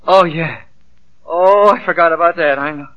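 An actor playing a flustered, eager young office clerk who stammers excitably in a 1940s radio drama. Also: male voice, fairly high pitch, normal speed, phone-quality sound, close to an everyday voice. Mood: excited, rattled. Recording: old 1940 radio broadcast.

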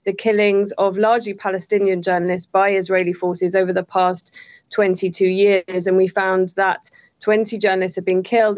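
A woman giving measured answers as an interviewed advocate. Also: medium pitch, a little mellow. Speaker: press freedom advocate being interviewed. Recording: dull, band-limited interview line.

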